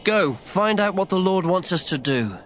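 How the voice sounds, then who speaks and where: A man's voice: high-pitched, lively intonation, normal speed, a little hiss or hum. A man playing an earnest, commanding young king in a dramatized scripture reading. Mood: alarmed, urgent. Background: prominent music.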